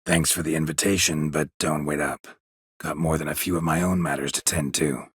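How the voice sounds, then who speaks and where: An American man voicing a stoic, world-weary, middle-aged monster hunter in a video game, with a gravelly, husky voice and a deadpan, clipped delivery. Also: rumbling low, fairly quick, no echo, studio quality, exaggerated voice. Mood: dry, polite. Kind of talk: video game character dialogue lines.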